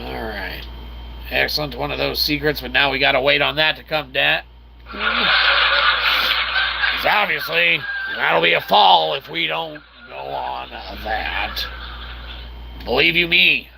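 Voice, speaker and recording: A man with a gravelly, creaky voice gives casual video game commentary, mixing wordy mock-formal phrasing with slang and speaking as "we". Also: mid-range voice. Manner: refers to himself as "we". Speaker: gaming channel host. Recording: clearly noisy background.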